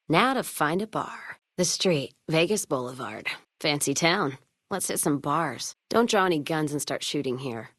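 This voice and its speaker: A woman's voice: low voice, dry recording, clean studio sound. A woman playing a blunt, hard-drinking, foul-mouthed wasteland companion in a video game, wry and wary, with clipped, folksy phrasing. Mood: sardonic.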